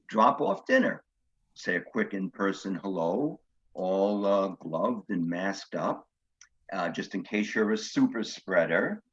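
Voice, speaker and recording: A man's voice: fairly low pitch, a bit bright, exaggerated voice. An actor playing a courteous, precise widowed grandfather courting on a video date in a stage comedy, earnest and a little formal, with dull, muffled sound.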